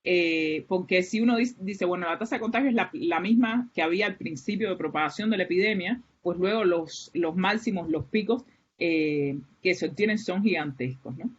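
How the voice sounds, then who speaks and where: A woman's voice: on the low side, moderate pace, slightly rough. A woman from Cuba with a Cuban Spanish accent, giving an academic seminar with many fillers and restarts. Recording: muffled, lo-fi online lecture audio.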